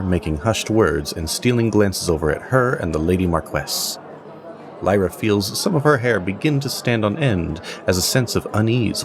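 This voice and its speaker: A man narrating a scripted fantasy story as its storyteller, in a measured, dramatic manner. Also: deep voice.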